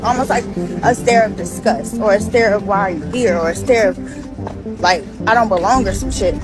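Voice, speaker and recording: A woman's voice: on the high side, poor audio. A woman from the United States telling a travel story to camera in a blunt, slangy, casual way. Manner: blunt, slangy, swears freely. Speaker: traveling vlogger.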